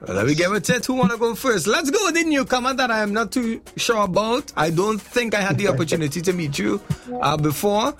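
High-voiced Trinidadian radio host. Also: male voice, faint music behind. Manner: lively, playful, quick to laugh. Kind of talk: casual radio chat and ad reads.

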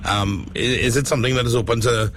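A Trinidadian man hosting a live radio interview, speaking in a halting way with repeated words and hesitations. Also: medium pitch, fairly even intonation, fairly bright tone. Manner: hesitant, halting, repetitive phrasing. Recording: clearly noisy background ambience.

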